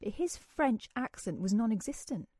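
English woman radio presenter, lively casual storyteller, dry close studio sound. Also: on the high side, normal speed, slightly rough, no room sound. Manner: chatty, animated storyteller with self-deprecating humor.

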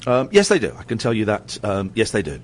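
A man's voice: fairly low pitch, huge pitch swings, fast talker. A middle-aged man hosting a radio show, chatting with a caller in a rambling, self-mocking way with lots of restarts. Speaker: radio host. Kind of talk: casual radio chat with callers.

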